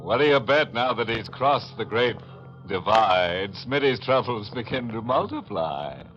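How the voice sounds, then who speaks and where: An actor playing a ghoulish, mocking host of a 1940s radio horror show, with sardonic, chuckling delivery. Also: male voice, on the low side, very animated, a bit bright. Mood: mocking glee. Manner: sardonic, chuckling, drawn-out puns. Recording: dull 1940s radio recording.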